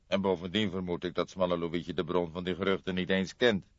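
A man voicing a calm, sombre old police inspector in an audiobook, speaking in a measured, thoughtful way. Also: sits low, no echo, dull audio, lightly acted. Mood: cautious, reasoning.

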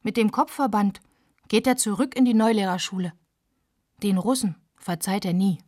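An actress playing a granddaughter who recalls family life after the war in spare, deliberate radio narration. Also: female voice, mid-range voice, dark tone, no echo, studio quality, close to an everyday voice. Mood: wry, reflective. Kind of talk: literary first-person radio narration.

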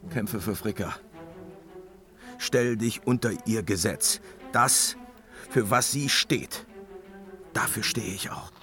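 A man's voice: lowish voice, slow pace, pauses often, pushed and bright. An actor playing a weary, tormented, commanding father god in a fantasy radio drama, solemn and brooding, slipping into whispers. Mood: stern, commanding. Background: dramatic music.

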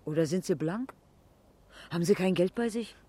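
An actress playing a talkative, brisk small-town station attendant in a colloquial 1970s German radio drama. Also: female voice, on the low side, warm tone, husky. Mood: concerned, bossy. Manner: chatty, folksy, blunt.

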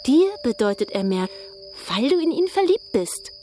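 An actress playing a headstrong, defiant young sister in a radio drama, intense, blunt and passionate. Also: female voice, fairly high pitch, big pitch swings, moderate pace, dark tone, soft background music. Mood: provoking. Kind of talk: German radio drama acting.

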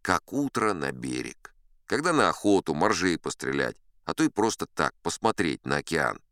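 A man's voice: low-pitched, very animated, normal speed, a bit bright, clear, resonant tone, dry recording, clean studio sound, exaggerated voice. An actor playing a boastful old sea captain, telling his tall tales in a folksy, self-assured, conversational way. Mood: musing. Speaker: audiobook performer narrating in character. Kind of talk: dramatic first-person storytelling.

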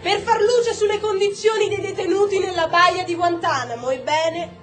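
Woman acting a haughty, very high-voiced government official. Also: steady tone, moderate pace, music in the background. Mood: officious, haughty. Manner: formal, officious, condescending. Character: evasive, haughty female American government secretary. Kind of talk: theatrical political press questioning.

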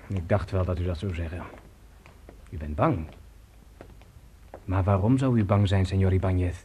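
A man's voice: low voice, moderate pace, warm tone, a little hiss or hum, natural voice. A man acting in a radio drama as a domineering, taunting storyteller who speaks insistently and coaxingly. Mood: taunting, commanding. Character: domineering, taunting male storyteller, Señor Pardo.

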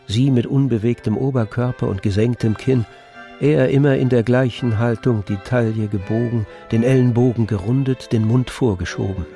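A man narrating a literary radio drama from a script in a measured storytelling manner. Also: medium pitch, creaky, mellow. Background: music with violin.

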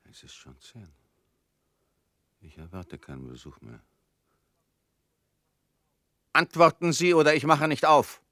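A man's voice: fairly high pitch, wildly swooping pitch, normal speed, pushed and bright, full character voice. An actor in a radio play playing a fearful, suspicious man alone at home, speaking in insistent, clipped sentences. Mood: subdued fear. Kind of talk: German radio play acting.

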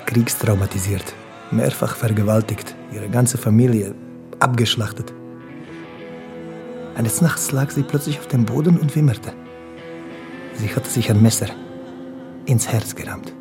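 An actor playing a haunted war refugee, making a grave, halting confession in a radio drama. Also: male voice, mid-range voice, close to an everyday voice. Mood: somber, confessional. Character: haunted Bosnian war refugee confessing, former jazz trumpeter. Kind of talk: German radio drama acting. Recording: music behind the voice.

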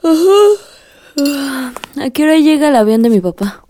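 An actress playing an eager young grown-up daughter chatting at home in a radio drama. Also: female voice, sits high, big pitch swings, measured pace, noisy background.

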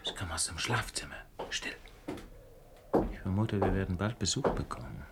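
Cool wry detective guy, really deep voice, unruffled, radio play, noisy track. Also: animated, normal speed. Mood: hushed, wry. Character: cool, wry, self-assured male detective on a burglary. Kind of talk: radio drama acting.

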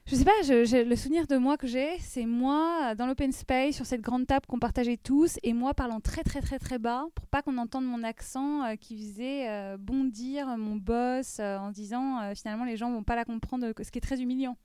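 A woman's voice: fairly high pitch, fairly even intonation, moderate pace, hardly any pauses, dark tone, clear, resonant tone, no echo. A woman in her thirties telling a personal story as an interview guest, hesitant with frequent restarts and fillers.

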